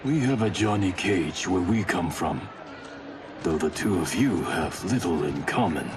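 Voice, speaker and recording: An actor playing a stoic, resolute warrior in a video game, terse, formal and serious. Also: male voice, sits low, lively intonation, a little slow, background noise. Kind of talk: cinematic video game acting. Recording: game cutscene with music behind.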